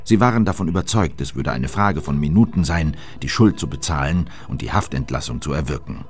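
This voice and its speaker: An actor playing a sober chronicler, narrating a political documentary drama in a scripted style. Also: male voice, extremely low pitch, fairly quick, faint music behind. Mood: sober, suspenseful.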